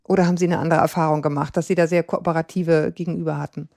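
Female interviewer, middle-aged, slightly low pitch, engaged and opinionated, recorded dry and close. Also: little pitch movement, racing, gravelly, a little mellow, no room sound. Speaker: interviewer, host. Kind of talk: conversational interview.

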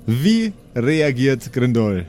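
A man's voice: medium pitch, sing-song, slow pace, darkish tone. A man improvising casual narration as game master of a tabletop role-play.

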